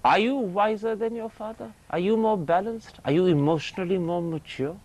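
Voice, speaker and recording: A man's voice: sits high, moderate pace, a little mellow. A male interviewer asking direct, probing questions on a TV interview show.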